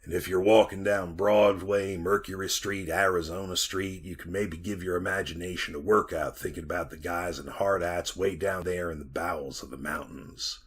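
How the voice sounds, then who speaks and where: An actor playing a weathered, folksy Montana copper miner who rambles to a listener in a retro radio drama monologue. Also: male voice, low voice, steady tone, moderate pace, hardly any pauses, breathy edge. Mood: folksy, wry. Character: weathered bearded Montana copper miner, 34, haunted yarn-spinner.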